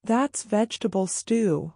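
A woman voicing a kind, patient old grandmother in a cartoon story, calm and firm. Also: medium pitch, measured pace, heavy background noise, lightly acted. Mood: gentle. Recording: music playing behind.

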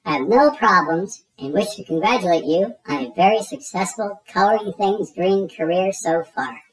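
A woman's voice: medium pitch, animated, moderate pace, close to an everyday voice, rough recording. Woman plays happy peacemaker crayon, polite, earnest, slightly formal storybook voice. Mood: contented, earnest. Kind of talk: children's storybook read-aloud.

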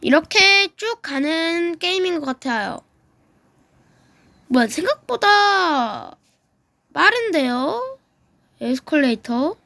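High-voiced woman chatting through a game. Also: very animated, gravelly, sharp tone, no room sound. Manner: chatty, reacts aloud with quick exclamations. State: getting over a throat cold. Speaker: gaming YouTuber. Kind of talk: casual game commentary.

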